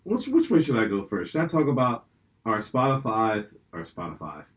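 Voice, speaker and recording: A man's voice: lowish voice, normal speed. A young man from Pennsylvania hosting a podcast, telling rambling stories in casual, profane chat. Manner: profane, rambling, animated storytelling. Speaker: podcast host.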